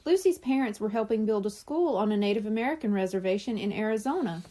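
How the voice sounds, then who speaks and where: A woman narrating a children's story from a script in an expressive way. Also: mid-range voice, fast talker. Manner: expressive character reading. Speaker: audiobook narrator.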